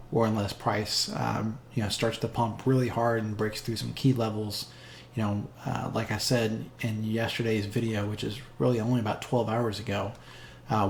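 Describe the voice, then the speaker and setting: A man hosting a casual market update over a chart screen share, talking straight to viewers with frequent fillers, his voice slightly hoarse. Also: mid-range voice, darkish tone, a little hiss or hum. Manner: chatty, direct address with frequent fillers. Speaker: crypto market analyst, channel host.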